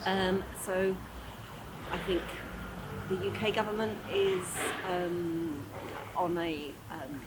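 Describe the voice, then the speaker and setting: Slow, deliberate woman amid street noise. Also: lowish voice, steady tone. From moved to Scotland from Cornwall, England. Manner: deliberate, pausing. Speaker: interviewee. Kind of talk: considered opinion. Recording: noisy street recording.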